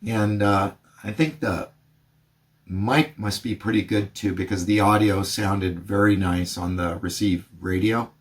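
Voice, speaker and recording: Guy doing radio checks and gear review talk, plain and measured. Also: fairly low pitch, fairly even intonation. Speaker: host of a radio review channel. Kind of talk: radio test calls and product review narration.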